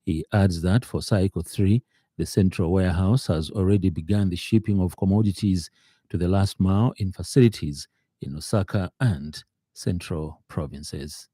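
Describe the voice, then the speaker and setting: A man with a Zambian accent reading a scripted radio news bulletin in a formal, measured manner from a studio. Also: deep voice, dark tone, slightly rough, no room sound.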